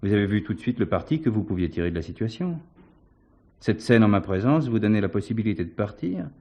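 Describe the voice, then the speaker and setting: Police commissioner in a stage whodunit, really low voice, measured, ironic, sure of himself. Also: male voice, animated, darkish tone, dull audio. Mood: assured, unmasking. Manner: measured, theatrical, ironically courteous interrogator. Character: shrewd, assured male police commissioner confronting a suspect. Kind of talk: French stage mystery acting.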